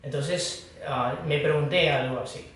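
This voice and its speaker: A man who is a Buddhist teacher, giving a dharma talk in a slow, halting manner with pauses and fillers. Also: fairly high pitch, fairly even intonation, fairly bright tone. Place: hall of a foundation. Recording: echoey room, distant mic.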